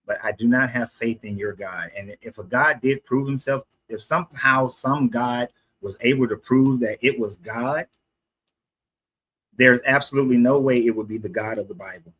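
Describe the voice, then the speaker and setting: A man's voice: mid-range voice, moderate pace, a bit bright, husky, rough recording. A man from Atlanta debating on the street, explaining at length and correcting himself as he goes. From Atlanta, USA. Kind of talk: casual street conversation.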